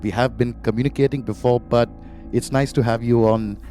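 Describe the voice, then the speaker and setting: Warm-voiced enthusiastic male podcast host. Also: medium pitch, steady tone, a little fast, soft background music. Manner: enthusiastic, effusive introductions. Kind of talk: podcast interview hosting.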